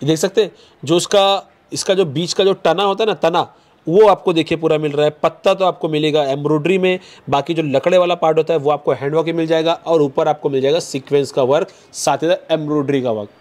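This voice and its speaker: A man in his thirties hosting a vlog, pitching clothes to viewers in quick, persuasive patter, recorded on a lapel mic in an indoor shop. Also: fairly even intonation, darkish tone. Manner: fast, persuasive salesman patter addressing viewers. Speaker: vlogger host. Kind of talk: promotional market vlog.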